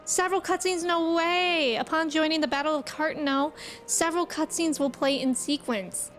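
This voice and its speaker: A woman live-streaming a game, chatting casually and reading aloud in a playful, expressive way. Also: high voice, normal speed. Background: game music.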